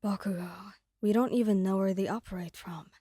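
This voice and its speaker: A woman voicing a level-headed young male mafia partner in an audio roleplay, measured and cautious. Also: mid-range voice, steady tone, warm tone, slightly rough, dry recording, studio quality, close to an everyday voice.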